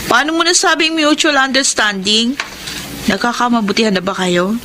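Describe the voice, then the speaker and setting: Nosy confidante, fairly high voice. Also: female voice, moderate pace, pushed and bright, a little hiss or hum. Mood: curious, prodding. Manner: prodding, inquisitive. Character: nosy, eager, meddling female confidante. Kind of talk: Filipino radio drama acting.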